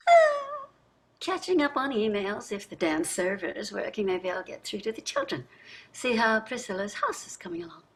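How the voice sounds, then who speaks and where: A woman's voice: a little high, huge pitch swings, normal speed, vocal fry, full character voice, rough recording. An older woman playing a wealthy, weary and sardonic wife and mother in a staged play reading, pointed and dramatic in her delivery. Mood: resigned.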